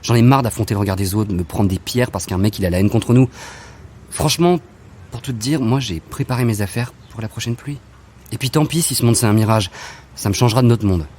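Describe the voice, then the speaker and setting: A man's voice: lowish voice, brisk, a little mellow, slight background noise, lightly acted. A man playing a fed-up but hopeful athlete in a French audio drama, colloquial and insistent. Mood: fed up, pleading. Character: Raph, fed-up, hopeful athlete dreaming of escape. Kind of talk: naturalistic French audio fiction acting.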